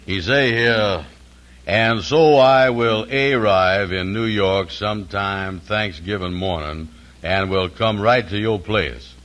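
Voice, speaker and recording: A low-voiced, slow-witted but big-hearted uncle from a 1940s radio comedy. He talks in a folksy, ungrammatical drawl, humble and proud. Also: fairly bright tone. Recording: vintage 1940s radio broadcast.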